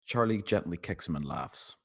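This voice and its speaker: A man with a low voice narrates a screenplay. He reads out scene headings and stage directions as scripted narration for a table read. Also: animated, normal speed, gravelly, a bit bright, slightly rough.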